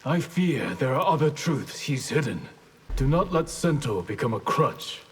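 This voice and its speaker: An actor playing a grave, dutiful ninja clan master in a video game, speaking formally in a husky voice. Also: male voice, medium pitch, very animated, a little slow, exaggerated voice. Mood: solemn. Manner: formal, solemn. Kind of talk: video game fight banter.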